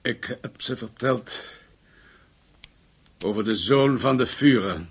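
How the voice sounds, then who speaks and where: A man's voice: mid-range voice, slow, soft background music, lightly acted. An actor playing an anxious, submissive father in a radio drama, hesitant and pleading. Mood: guarded, resigned. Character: anxious father, former SS administrator, submissive under threat.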